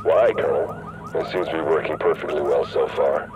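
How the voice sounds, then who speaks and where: A man's voice: very deep voice, brisk. A man playing a cynical, power-hungry rogue agent in a video game, persuasive and coolly self-assured, with noise behind him. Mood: smug, businesslike. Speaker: rogue agent and faction leader. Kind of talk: cinematic video game acting.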